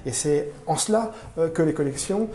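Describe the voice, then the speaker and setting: A man giving formal, measured explanations in an interview as a museum curator, often pausing mid-phrase as he searches for words. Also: on the high side, expressive, dark tone. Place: indoor room in a museum. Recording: roomy, echoing indoor sound.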